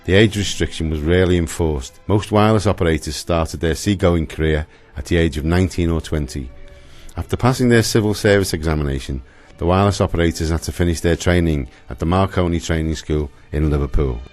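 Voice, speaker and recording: Very deep-voiced male narrator. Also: fairly quick, a little mellow, soft background music. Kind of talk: scripted historical documentary narration.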